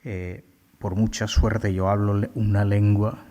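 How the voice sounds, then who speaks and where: Male poet, low-pitched, unhurried and halting, recorded with long hall reverb. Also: flat delivery, warm tone. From India. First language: Bengali. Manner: slow, halting, many filler sounds. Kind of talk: poetry reading with commentary.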